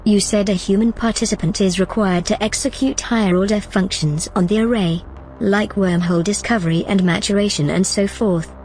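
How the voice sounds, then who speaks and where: A woman's voice: mid-range voice, steady tone, normal speed, darkish tone, a little hiss or hum, close to an everyday voice, rough recording. A woman playing a determined artificial intelligence in an audio drama, composed, persuasive and insistent. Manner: composed, insistent, matter-of-fact. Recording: music behind the voice.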